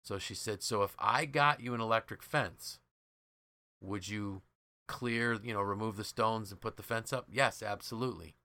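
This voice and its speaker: A man with a fairly low, bright and punchy voice hosts a leadership podcast in a dry, close sound. He tells stories conversationally, with enthusiasm and emphasis, slipping into quoted dialogue as he goes. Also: normal speed, dry recording. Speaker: podcast host and leadership trainer.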